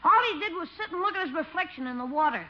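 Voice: very high voice, lively intonation, fast talker. An actor playing a brash, teasing young nephew, a little boy full of slangy wisecracks, in a 1950s radio comedy. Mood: impatient, needling. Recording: dull, noisy old radio recording.

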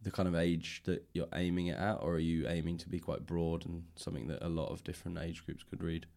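A man's voice: low-pitched, level tone, fast, gravelly, a little mellow. A man interviewing in a casual, thoughtful, roundabout way as a podcast host. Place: library room.

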